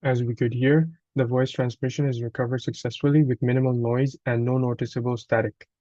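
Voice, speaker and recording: A man reads a scripted technical walkthrough as a student presenter, somewhat haltingly, sometimes stumbling and correcting himself. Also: medium pitch, level tone, dark tone, no room sound, muffled recording. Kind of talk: scripted technical narration, read aloud.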